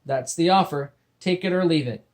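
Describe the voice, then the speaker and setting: A man's voice: fairly high pitch, normal speed, voice pushed up, close to an everyday voice. A man voicing a weary young man bargaining with a captor in a dry, matter-of-fact way.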